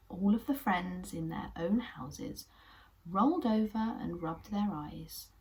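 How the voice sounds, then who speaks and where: Gentle English woman reading to children. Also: lowish voice, lively intonation, slow. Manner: gentle, encouraging, sometimes whispery narration. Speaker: children's puppet show host. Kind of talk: storytelling for children.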